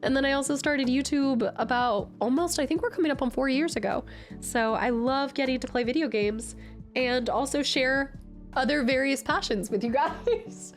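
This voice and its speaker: A woman streamer chatting casually with her viewers, her voice nasal and raspy from a cold, bubbly and excitable. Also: high-pitched. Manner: bubbly, excitable, greets chat often. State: recovering from a cold. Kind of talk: casual live-stream chat.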